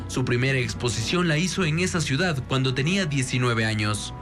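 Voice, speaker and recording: A man's voice: medium pitch, expressive, brisk. Male reporter with music behind. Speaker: television news reporter. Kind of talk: scripted arts report narration.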